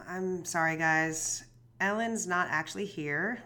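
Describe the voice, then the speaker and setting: A woman's voice: fairly low pitch, slow, bright, forceful tone, smooth. An actress playing a young woman true crime podcast host, talking in an anxious, rambling, swearing, self-deprecating way. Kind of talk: audio drama monologue.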